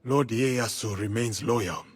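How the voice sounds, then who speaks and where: Husky, solemn samurai. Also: male voice, medium pitch, slow pace. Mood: resolute. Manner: earnest, formal, solemn. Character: solemn, loyal samurai warrior in service to a lord. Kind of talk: dramatic video game acting.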